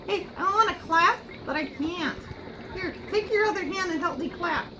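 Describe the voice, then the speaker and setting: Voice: extremely high pitch, moderate pace. A playful, giggly puppeteer improvising a puppet show with bits of singing, in a noisy home recording.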